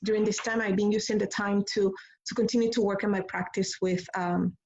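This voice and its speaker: A woman from Puerto Rico, a visual artist, speaking about her work in a halting way with many pauses and restarts. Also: mid-range voice, fairly even intonation, darkish tone, slightly rough. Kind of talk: hesitant artist talk. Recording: slightly dull lo-fi audio.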